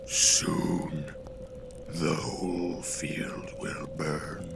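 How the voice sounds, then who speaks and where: Casting: a protective scarecrow creature, horror audio play; abyssal gravelly rasp, terse and ominous, extremely slow. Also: male voice, flat delivery, exaggerated voice. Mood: grim. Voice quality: deep, gravelly, breathy rasping whisper. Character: grim living scarecrow, protective monster of few words. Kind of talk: horror audio drama acting. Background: music.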